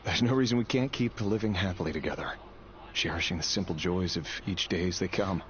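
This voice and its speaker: An actor voicing a weary, earnest young man with a secret grim trade, speaking in a measured way for a video game. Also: deep voice, close to an everyday voice. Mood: resigned, gentle. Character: weary young man, secret royal executioner, tender but brooding. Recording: dull game audio under loud music.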